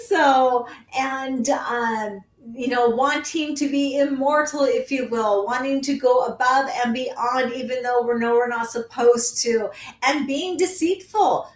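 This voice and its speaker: A woman's voice: high-pitched, reverberant. A woman hosting her own astrology livestream, chatting casually with many ums and reading out viewer comments while she is losing her voice.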